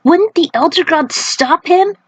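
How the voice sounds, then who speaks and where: A woman acts out a loyal warrior monk from a fantasy story, alarmed and urgent. The pitch is pushed high and the recording is dry. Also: animated, a little slow, no echo, poor audio.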